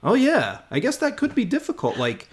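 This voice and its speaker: A man's voice: very high voice, wildly swooping pitch, normal speed. Hesitant man hosting a casual podcast. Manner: hesitant, repeats words, many fillers. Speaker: podcast host. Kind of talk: casual chat.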